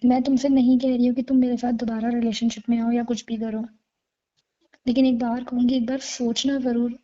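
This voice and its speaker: A woman acts out a young girlfriend full of remorse in a phone-call drama. Her voice is fairly high and very soft-edged and mellow. She pleads earnestly and intimately, over a muffled lo-fi call recording. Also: little pitch movement, a little fast, lightly acted. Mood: sincere, resigned.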